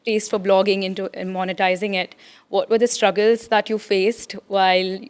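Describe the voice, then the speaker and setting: A woman's voice: medium pitch, flat delivery, normal speed, smooth. A woman in the audience asking a question at a live talk, hesitant, with a lot of uh and you know.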